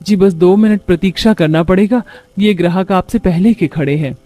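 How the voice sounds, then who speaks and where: A man's voice: high-pitched, moderate pace, dark tone, faint music behind, close to an everyday voice. A man voicing a humble, hardworking young daughter-in-law who speaks sweetly and politely in a moral story. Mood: polite, grateful. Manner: polite, deferential.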